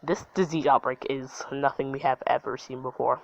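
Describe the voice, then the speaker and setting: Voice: mid-range voice, steady tone, normal speed, gravelly, no echo. A person acting as a local TV news reporter in an amateur drama about an outbreak. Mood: urgent, grave. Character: TV news reporter covering an outbreak, turning terrified. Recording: dull lo-fi audio.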